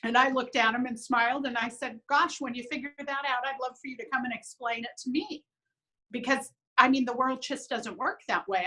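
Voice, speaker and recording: Bright, high-voiced upbeat woman. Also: poor audio. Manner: upbeat, earnest, quotes others in lively voices. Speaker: business owner, interview guest. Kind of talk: casual conversational interview.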